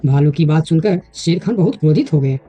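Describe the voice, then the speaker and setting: A woman's voice: low-pitched, lively intonation, brisk. A woman giving scripted narration of a children's animal story, with music under her voice.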